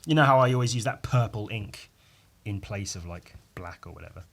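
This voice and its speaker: A man with a croaky voice rambling casually on his own as a podcast host, informal and sweary, poking fun at himself and tacking questions onto his remarks. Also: lowish voice, brisk, a bit bright. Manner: sweary, informal, self-deprecating, with tag questions. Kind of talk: casual rambling solo chat.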